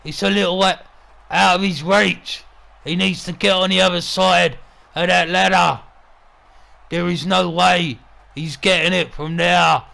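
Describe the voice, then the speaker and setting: A gruff, crude wrestling boss, played by a man, calls the action in a heavy gravelly growl. The voice sits a little high and bright, with a forceful edge. He talks bluntly, swears freely and sounds like a threat. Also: fairly even intonation, measured pace, full character voice. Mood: excited. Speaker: wrestling commentator. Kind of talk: wrestling commentary with in-character banter.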